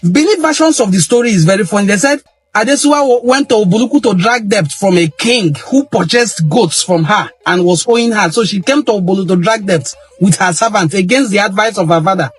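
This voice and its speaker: A man's voice: high-pitched, fairly quick, soft background music. A middle-aged Nigerian man giving an impassioned monologue on history, emphatic and repeating phrases for stress. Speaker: cultural history commentator.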